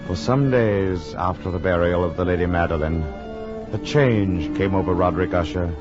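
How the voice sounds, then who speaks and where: A male actor as an earnest gentleman from a 1940s radio horror play. His low voice is grave, theatrical and uneasy. The old recording is muffled, with music clearly behind him. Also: normal speed, lightly acted. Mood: grave, foreboding. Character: earnest, uneasy gentleman friend narrating a gothic horror tale. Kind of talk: 1940s radio drama narration and acting.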